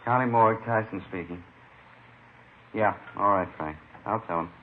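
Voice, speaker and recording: Morgue doctor male actor for vintage crime radio: very deep, plain-spoken, clipped, noisy narrow-band sound. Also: normal speed, close to an everyday voice. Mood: matter-of-fact. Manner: terse, factual. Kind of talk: 1950s radio crime drama acting.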